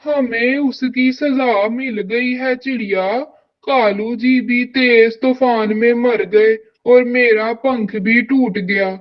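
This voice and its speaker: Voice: mid-range voice, fairly even intonation, slow pace, dull audio, lightly acted. An actor voicing a female crow, a landlord's scheming wife, in a cartoon. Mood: weak, pleading. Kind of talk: Hindi cartoon dialogue.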